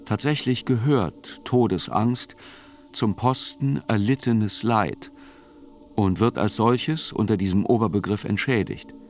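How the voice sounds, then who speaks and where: Radio play chronicler: low, matter-of-fact, deliberate, literary narration over soft music. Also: male voice. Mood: sober, measured. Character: sober male court chronicler narrating a terror trial. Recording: music under the voice.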